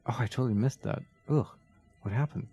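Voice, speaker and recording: A man's voice: mid-range voice, fairly quick, dark tone, slightly rough. A man chatting casually and jokily as he co-hosts a video game playthrough, thinking aloud. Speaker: let's play co-host.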